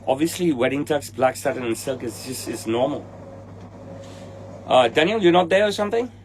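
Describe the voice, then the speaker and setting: A man's voice: mid-range voice, animated, normal speed, slight background noise. A man in his forties with a Hong Kong English accent, a tailor answering livestream viewers in a blunt, impatient way. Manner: blunt, impatient, swears at commenters. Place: indoor room with trophies behind him.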